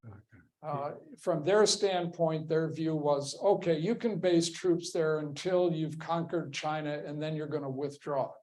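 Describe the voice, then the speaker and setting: A man giving a history lecture to a class, measured and emphatic, often stopping to put questions to his listeners. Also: on the high side, a little mellow, low-quality recording.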